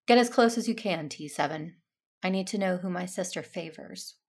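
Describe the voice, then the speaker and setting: A woman playing a dutiful, self-stern Jedi in a sci-fi audio drama, narrating in a measured, earnest way. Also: lowish voice. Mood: grave, introspective.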